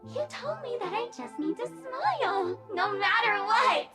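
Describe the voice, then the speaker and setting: A woman's voice: extremely high pitch, sing-song, measured pace, full character voice. A woman voicing a forlorn, unhinged living mask of a maiden in a video game, melodramatic and pleading. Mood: hurt, abandoned. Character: cast-off theatrical maiden mask, forlorn, unhinged, desperately smiling. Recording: loud music under the voice. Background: music.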